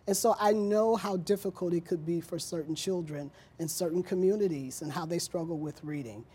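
A middle-aged woman, an educator, explaining her work in a measured, earnest way as an interview guest. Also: lowish voice, dark tone, a little hiss or hum.

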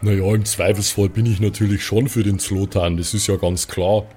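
A man acts out a jolly, simple-hearted fan with a rough, husky voice. He chats in a folksy, enthusiastic way as a fantasy audio play spectator. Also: fairly low pitch, fairly even intonation, normal speed, darkish tone. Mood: cheerful, supportive.

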